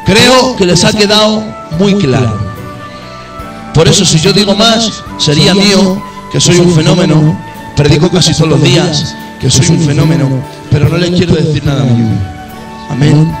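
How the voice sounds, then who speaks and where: Impassioned preacher, high-pitched man, music behind. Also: lively intonation, moderate pace, recorded from a distance. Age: adult. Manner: impassioned, swings between low intimate tones and high shouted peaks. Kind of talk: sermon.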